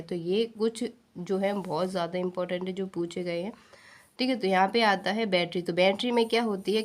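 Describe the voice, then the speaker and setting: An Indian woman teaching an exam-prep science lesson, posing questions and answering them herself, repeating key points and mixing in English technical terms. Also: fairly low pitch.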